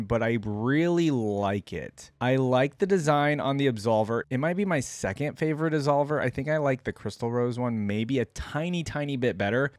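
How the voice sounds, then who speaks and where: A man's voice: medium pitch, darkish tone, dry recording. A man hosting a reaction video, giving casual, conversational commentary as he thinks aloud. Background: background music.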